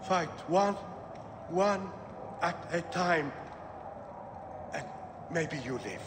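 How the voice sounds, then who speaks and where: An actor playing a defeated arena fighter in a video game, solemn and halting as he cautions. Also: a little high, expressive, long reverb tail, noisy background. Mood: grave warning. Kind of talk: video game cutscene acting.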